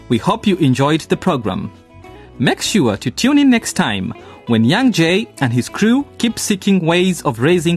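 A man reading a scripted radio programme sign-off as the announcer. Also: a little high. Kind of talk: scripted radio outro narration. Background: guitar music.

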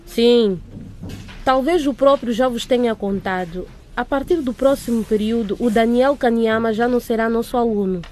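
A strict female headmistress, played by an actress with a fairly high voice. She addresses her class briskly and with authority, as in a radio drama. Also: darkish tone, a little hiss or hum, lightly acted. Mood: strict, businesslike.

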